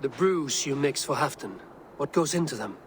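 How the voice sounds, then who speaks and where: Male actor: steady, loyal Viking warrior, calm and earnest, long reverb. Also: mid-range voice, normal speed, slight background noise, close to an everyday voice. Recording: game audio with faint score, long reverb.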